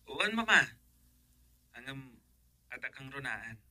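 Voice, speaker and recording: A man's voice: medium pitch, very animated, takes it slowly, bright, forceful tone, dry recording, clean studio sound, full character voice. A man with a Filipino accent acting in a radio drama as an earnest son in love, eager to marry. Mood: earnest, reassuring. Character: adult son in love, eager to marry, reassuring his mother.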